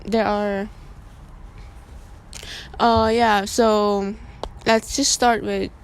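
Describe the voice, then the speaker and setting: A young woman from Laos, a student answering interview questions in a relaxed, rambling way. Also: medium pitch, extremely slow, slight background noise. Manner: relaxed, rambling, with many fillers.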